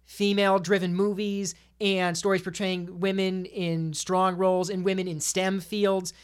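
A woman's voice: mid-range voice, little pitch movement, measured pace, smooth. Female podcast panelist, mid-range voice, chatting casually about movies with firm opinions and emphasis. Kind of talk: casual film discussion.

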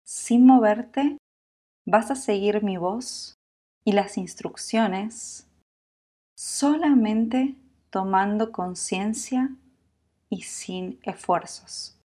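A woman with a Latin American Spanish accent guiding a sleep meditation in a calm, soothing, unhurried manner, leaving long pauses. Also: medium pitch. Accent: Latin American Spanish with voseo. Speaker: yoga nidra meditation guide.

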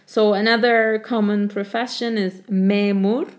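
Woman teaching a language lesson, super chatty and relaxed, says um a lot, slows down on the example phrases. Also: mid-range voice. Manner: relaxed and chatty, many fillers, repeats example phrases slowly. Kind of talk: casual spoken lesson.

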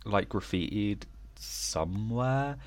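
A man's voice: lowish voice, expressive, slow pace. A man co-hosting a casual podcast, rambling with lots of fillers and giggles, still sniffly from a cold. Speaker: podcast co-host. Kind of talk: casual chat.

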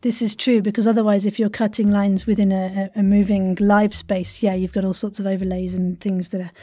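A woman's voice: medium pitch, flat delivery, fairly quick, warm tone, no echo, clean studio sound. A woman hosting a chatty interview, enthusiastic and appreciative as she asks questions. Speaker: podcast host, interviewer.

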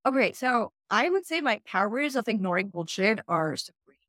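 A woman from Pakistan, English not her first language, giving frank, energetic advice as an interview guest over a video call. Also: mid-range voice, moderate pace, dry recording, clean studio sound. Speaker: guest, freelance writer. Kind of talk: casual interview talk.

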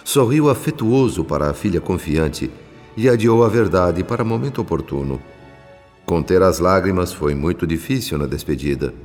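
A man with a Brazilian accent reading scripted radio drama narration in a solemn, literary, measured manner. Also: low-pitched, expressive. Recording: long reverb, music bed behind.

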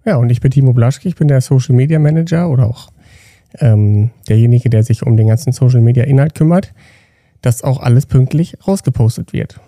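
A man's voice: mid-range voice, a little fast, mellow, dry recording, studio quality. A man hosting a company podcast, chatting loosely with restarts while he introduces guests and asks questions. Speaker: podcast host.

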